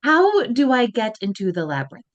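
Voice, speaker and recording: A woman's voice: fairly high pitch, wildly swooping pitch, normal speed, highs cut off. A woman voicing a determined, impatient teenage girl who answers sharply. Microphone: video call.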